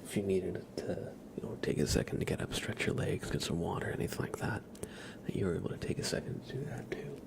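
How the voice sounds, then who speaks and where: A man hosting an ASMR livestream, chatting casually to viewers in a soft, breathy whisper. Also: sits low, sing-song, fast, noisy background. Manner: soft-spoken, addressing viewers by name.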